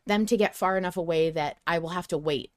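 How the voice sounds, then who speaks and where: A woman's voice: lowish voice, fast. A woman co-hosting a podcast in casual chat, speaking emphatically and with strong opinions, swearing freely. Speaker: podcast co-host. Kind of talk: casual fan discussion chat.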